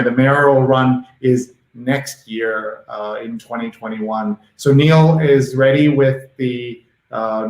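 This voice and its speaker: A man hosting an unscripted live talk show, speaking haltingly and often repeating phrases. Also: medium pitch, darkish tone, reverberant, rough recording.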